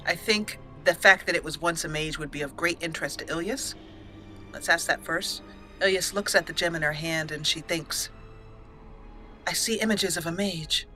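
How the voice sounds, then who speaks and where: Bright-voiced woman narrating a roleplay game. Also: deep voice, moderate pace, soft background music, low-quality recording. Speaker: let's play host.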